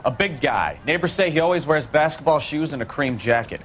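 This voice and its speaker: An actor playing a police detective giving an informal, emphatic report in a period crime game. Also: male voice, a little high, lively intonation, fairly quick, fairly bright tone, a little hiss or hum. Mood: eager. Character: male patrol detective reporting to colleagues.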